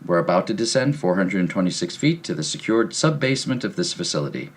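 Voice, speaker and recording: A man's voice: low voice, animated, fast. An actor playing a stiff, officious CIA spokesman in an audio drama, speaking formally and precisely. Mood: formal, stern. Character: stiff, officious male CIA public affairs director. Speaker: government official.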